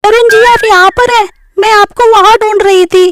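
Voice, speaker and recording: A woman's voice: very high voice, fairly even intonation, moderate pace, a bit bright, no echo. A woman voicing a polite, sociable female relative in a cartoon family story, with a courteous, formal manner. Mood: attentive.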